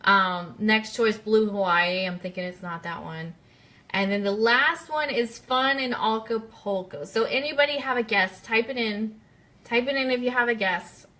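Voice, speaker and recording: A woman with a bright, forward voice hosts a live radio stream. She talks in a chatty, casual way, putting questions straight to her listeners as a quiz host. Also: fairly high pitch, normal speed. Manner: chatty, interactive, addresses listeners directly.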